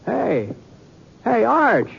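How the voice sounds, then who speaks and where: An actor playing a plainspoken, easily amazed frontier man with a Western American accent and halting, folksy delivery in a radio western. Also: very high-pitched, huge pitch swings, a bit bright, heavily acted. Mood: puzzled. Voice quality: husky, gravelly. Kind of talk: old-time radio western acting. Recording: dull vintage radio recording.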